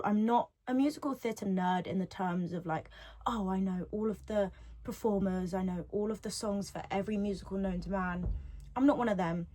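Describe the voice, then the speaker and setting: A woman's voice: medium pitch, a little mellow. An eighteen-year-old woman talks on her solo podcast, chatty and rambling, often repeating her own phrases. Her voice sits in an everyday middle range. She records from home on a device's built-in mic, not a proper microphone. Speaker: podcast host.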